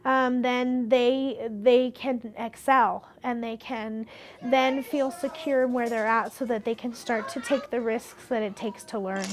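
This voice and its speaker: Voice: medium pitch, level tone, unhurried. An early-childhood educator explaining things with a few fillers. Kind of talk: interview statement.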